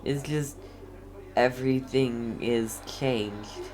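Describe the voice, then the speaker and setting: Low-pitched, unhurried woman playing a game. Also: fairly even intonation, darkish tone. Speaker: let's player reacting to a game. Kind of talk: casual gameplay commentary.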